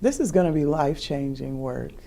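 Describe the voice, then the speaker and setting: A woman's voice: deep voice, brisk, vocal fry, dark tone. A woman hosting an interview, asking questions and responding to the guest. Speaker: host, interviewer.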